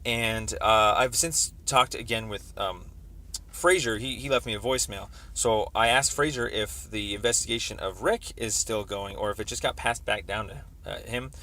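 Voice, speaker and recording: A man on a recorded phone call explaining a complaint as a caller, in a halting, wordy, roundabout way. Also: lowish voice, sharp tone. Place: telephone call.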